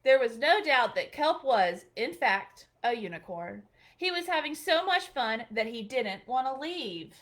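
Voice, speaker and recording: A woman reading a children's picture book aloud in a gentle, expressive way. Her voice sits high and moves a lot in pitch, like a librarian's bedtime storytime. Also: measured pace.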